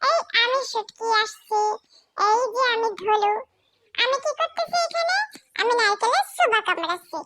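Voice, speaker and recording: Squeaky chatterbox kittens. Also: background noise. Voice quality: squeaky, chirpy. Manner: chatty, teasing, childlike. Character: playful kitten siblings chatting to camera. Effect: high-pitched voice changer. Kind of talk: cute pet voice-over.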